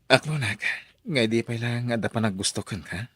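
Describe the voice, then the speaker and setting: An actor playing a persistent, pleading suitor with an Ilocano accent in a radio drama. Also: male voice, medium pitch, normal speed, a bit bright, slightly rough, no echo. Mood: wooing. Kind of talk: Filipino radio drama acting.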